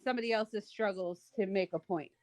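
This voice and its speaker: A woman's voice: mid-range voice, a little fast, a little mellow, dry recording. Woman guest, casual and hedging, dry close-mic sound. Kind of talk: casual chat.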